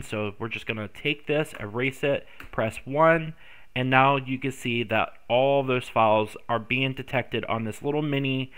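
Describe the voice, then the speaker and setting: A man's voice: medium pitch, a bit bright, smooth. A congested man hosting a screen-recorded tech tutorial, rambling with many ums and self-corrections. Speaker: tutorial host.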